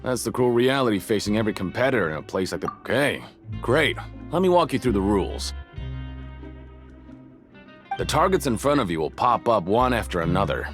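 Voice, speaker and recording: Low, breezy game-stall hustler. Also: male voice, very animated, brisk, pauses often, faint music behind. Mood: breezy pitch. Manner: casual, salesman-like patter. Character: breezy male convict game-stall operator, apologetic yet slick. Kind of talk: video game voice acting.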